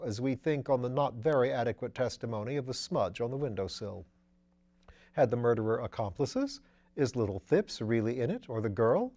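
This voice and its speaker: A breezy, witty gentleman sleuth from a mystery storybook, read out by a man. He muses aloud in upper-class slang, firing off questions and exclamations. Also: medium pitch. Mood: musing, wry. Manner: drawling clipped slang, exclamatory, musing.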